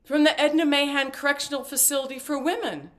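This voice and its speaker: A woman acting a talkative, evasive and devoted mother in a stage play, with a halting, theatrical delivery. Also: sits high, steady tone, a bit bright. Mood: flustered, pleading. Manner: halting, rambling, theatrical emphasis. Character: talkative, evasive mother and grandmother, fiercely devoted, just paroled.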